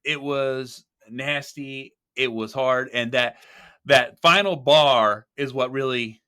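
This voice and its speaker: A man co-hosts a casual podcast in a bright, crisp voice at medium pitch. He sounds upbeat but hesitant, filling pauses with "uh" and false starts.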